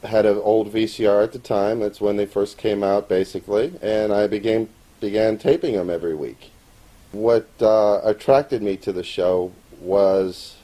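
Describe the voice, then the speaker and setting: Low, mellow-voiced middle-aged man. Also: poor audio. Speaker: amateur animator. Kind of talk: personal account to camera in a documentary interview.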